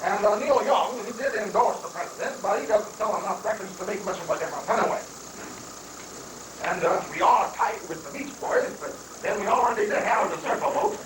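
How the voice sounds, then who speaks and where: Man playing a high-pitched folksy political strategist. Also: expressive, a little fast, a bit bright. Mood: explaining. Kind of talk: amateur dramatic reading of a satirical play. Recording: noisy, roomy live reading from far off.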